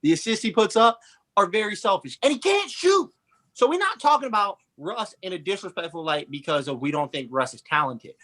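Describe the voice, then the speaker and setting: A man's voice: high-pitched, big pitch swings, fairly quick, a bit bright. A man co-hosting a sports podcast, giving blunt, opinionated takes with a teasing edge. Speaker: podcast co-host. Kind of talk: casual sports chat.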